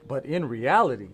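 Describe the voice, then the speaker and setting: A man's voice: medium pitch, fairly bright tone, slightly rough. An American man presenting a children's read-along storybook, encouraging and emphatic as he invites listeners to join in. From Louisiana, USA.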